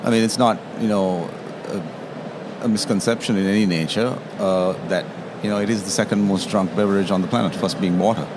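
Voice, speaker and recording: A man's voice: fairly low pitch, fairly even intonation, fairly quick. A man from Sri Lanka, a tea taster explaining his trade in an interview, often checking in with "yeah?". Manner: explanatory, tags statements with "yeah?". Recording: noisy trade show floor.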